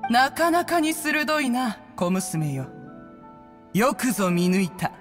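An actress playing a proud, imperious immortal bird in a video game, speaking in an archaic, grandiose, haughty way. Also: female voice, a little high, very animated, takes it slowly. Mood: proud, stately. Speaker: game character voice actor. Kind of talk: Japanese game dialogue acting. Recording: long hall-like reverb, music underneath.

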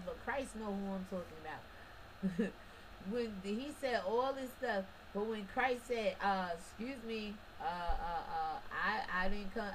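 A woman's voice: medium pitch, background noise. A woman giving a casual, preachy religious commentary monologue, talking straight to her listeners with emphatic rhetorical questions and colloquial asides. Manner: casual colloquial speech with restarts and short laughs.

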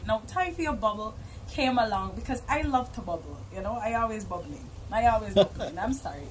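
A young adult woman with a Jamaican accent, chatting in an animated, informal way as a musician being interviewed. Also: medium pitch, a little fast, over music, low-quality recording. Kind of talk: casual interview chat. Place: outdoors on a porch by a garden.

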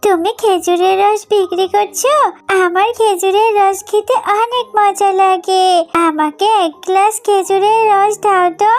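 A woman's voice: very high voice, steady tone, unhurried, darkish tone. A woman voicing an eager small child in a cartoon. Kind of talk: cartoon voice acting.

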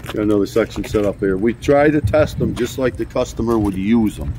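A man's voice: on the low side, fairly quick, warm tone. A middle-aged, plain-spoken man casually explaining practical shop know-how as an equipment tester. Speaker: sawmill factory worker and equipment tester. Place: workshop and outdoor yard. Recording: distant noisy on-location camera audio.

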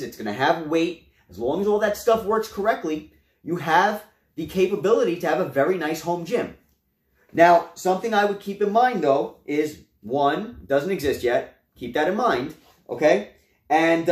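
Animated young man, high voice, roomy echo. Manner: animated, gestures a lot, addresses viewers as guys. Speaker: home gym reviewer. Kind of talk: casual product review to camera. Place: small room at home, indoors.

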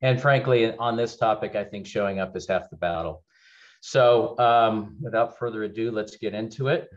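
Deep-voiced man on a dull video call. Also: normal speed. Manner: conversational, candid, many fillers and restarts. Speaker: keynote speaker, legal executive. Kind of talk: webinar keynote presentation. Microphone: webcam on video call.

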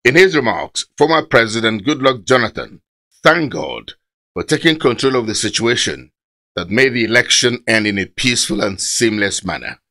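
A man reading a formal news voice-over in a measured way. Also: mid-range voice, lively intonation, a bit bright. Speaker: news narrator.

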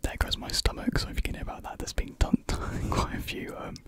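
A young British man whispering a casual, hesitant ASMR ramble in a breathy voice, very close to a desktop microphone. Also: brisk. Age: young adult. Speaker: ASMR video creator. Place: small indoor room at home. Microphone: large USB desktop mic, very close.

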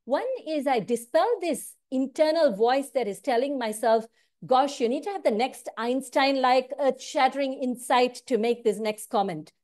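A woman's voice: high voice, normal speed. Earnest Indian-born woman on a video call. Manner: earnest, animated, often tags lines with "right?". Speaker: consulting firm managing partner. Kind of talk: direct-to-camera talk on career and work. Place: home room, indoors. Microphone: wired earphone mic on a video call.

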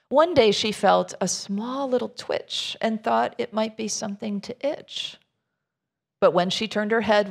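Middle-aged woman storyteller, slightly low and gravelly, unhurried, expressive delivery to a congregation. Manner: measured, expressive storytelling with informal asides to listeners. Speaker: minister. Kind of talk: reading a children's rhyming storybook aloud to a congregation.

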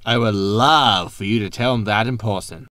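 A man's voice: lowish voice, normal speed, a bit bright, no room sound, natural voice. Man playing a wry spaceship captain, dry sound. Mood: sardonic. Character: sarcastic, greedy male spaceship captain. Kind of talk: sci-fi sitcom audio drama.

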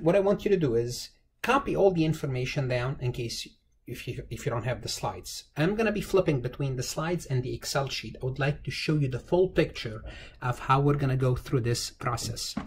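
Guy doing an accounting lecture, talks through examples step by step, repeats himself, some background noise. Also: mid-range voice. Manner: explanatory, repeats phrases, asks and answers own questions. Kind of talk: informal tutorial lecture, thinking aloud through a worked example. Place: indoors, screen-recorded voice-over.

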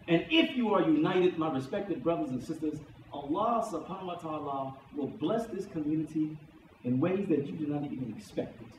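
High-pitched male preacher in an echoing hall. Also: darkish tone. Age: middle-aged. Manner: emphatic, repeating key phrases for weight. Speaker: imam. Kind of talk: sermon, Friday khutbah. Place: mosque prayer hall, indoors at a lectern. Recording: echoey hall from far off, faint noise.

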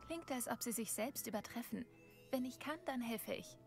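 A woman's voice: fairly high pitch, moderate pace, creaky. An actress playing a helpful young witch student, the heroine of a video game. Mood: polite, helpful. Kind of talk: acted video game dialogue. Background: game music.

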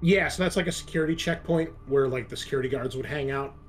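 A man narrating a tabletop roleplaying game as game master, improvising and explaining things in a halting way. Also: medium pitch.

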